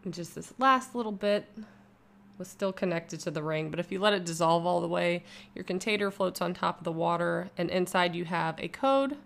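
A woman's voice: on the low side, normal speed, gravelly. Chatty upbeat woman reviewing a product. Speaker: YouTube vlogger. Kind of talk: casual product review voice-over.

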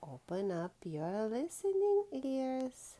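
A woman's voice: high voice, huge pitch swings, slow, dark tone. A woman hosting a children's storytime, reading a picture book aloud expressively, drawing out key words and prompting young listeners like a teacher.

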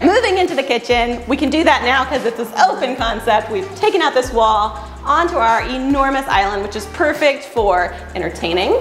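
A woman's voice: a little high, animated, normal speed, few pauses. Enthusiastic woman touring an echoey house. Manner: enthusiastic, explains design choices. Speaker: house flipper co-host. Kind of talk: casual home-tour chat. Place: empty renovated house, indoors. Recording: echoey empty rooms, faint music.

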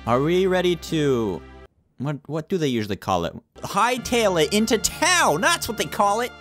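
A young man playfully hosting a group gameplay roleplay, excitable, bossy and theatrical. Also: high-pitched, normal speed, soft background music. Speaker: gaming video host, leading a group roleplay.

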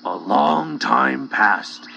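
A man narrating a children's story as a storyteller in an animated, scripted style. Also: mid-range voice, extremely slow, creaky, slight background noise. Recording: dull cassette tape.

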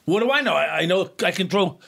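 A man's voice: sits high, animated, fast talker, gravelly, a bit bright. A middle-aged man, a co-host, asks probing questions in a casual studio chat, stopping and restarting his sentences. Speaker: podcast co-host. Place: small podcast studio with LED lights. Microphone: desk mic on boom arm.

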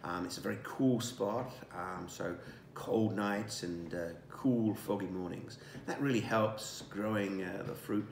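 Relaxed older winemaker in an echoey room. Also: male voice, on the low side, measured pace, few pauses, a little hiss or hum. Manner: relaxed, savoring, many fillers and restarts. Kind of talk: casual wine tasting presentation. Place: indoor dining room at a table.

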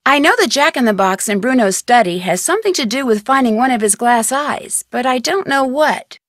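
A woman's voice: a little high, animated, moderate pace, no echo, natural voice. A woman voicing a young amateur detective in a studio video game, talking in a chatty, curious way and often asking for hints. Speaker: game protagonist.

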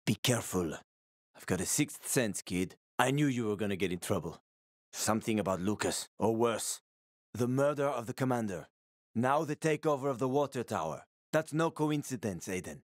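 A man's voice: mid-range voice, expressive, moderate pace, no echo, studio quality, natural voice. An actor playing a wry, streetwise male survivor and former cab driver in a video game, colloquial and sweary, with an urgent manner. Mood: grave warning. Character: wry, streetwise male ex-cabbie survivor, fatherly yet shifty. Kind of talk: video game character dialogue.

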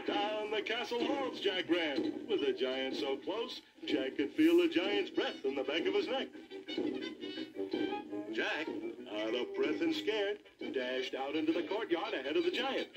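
A folksy, easygoing cartoon bear spins a comic fairy tale. The voice is high for a male and very animated, swinging up and down, with music playing behind. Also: unhurried, poor audio. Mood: excited narrating. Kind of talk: animated comic storytelling for children.

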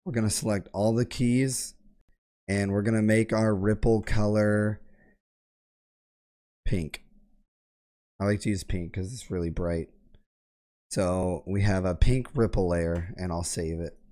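Hoarse young man with a sore throat. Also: lowish voice, a little slow, pauses often. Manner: relaxed explaining, frequent throat clearing. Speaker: tech tutorial host. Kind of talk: casual screen-share tutorial. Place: home gaming room. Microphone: boom mic close to face, headset on.

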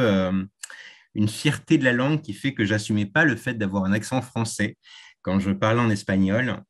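A man whose first language is Spanish, a tour guide, answering interview questions thoughtfully with frequent hesitations. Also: mid-range voice.

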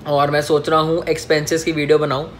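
A man's voice: on the high side, monotone, quick pace, slight background noise. A man in his twenties giving a casual, jokey tour of his small apartment, talking into a phone held at arm's length and mixing English into his Hindi. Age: 20s. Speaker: vlogger. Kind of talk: casual home-tour vlog.

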